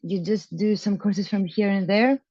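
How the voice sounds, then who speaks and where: A woman's voice: mid-range voice, fairly even intonation, normal speed, a little mellow, no room sound, lo-fi sound. Young woman, hesitant interview answers. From Greece. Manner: loose and hesitant, many fillers and restarts. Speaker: program graduate guest.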